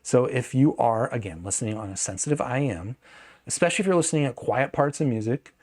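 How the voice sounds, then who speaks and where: A man's voice: medium pitch, fast, darkish tone. Man thinking aloud in a casual review. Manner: hedging, thinking aloud, self-correcting. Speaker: host of a gadget review channel. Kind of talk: casual unscripted product review.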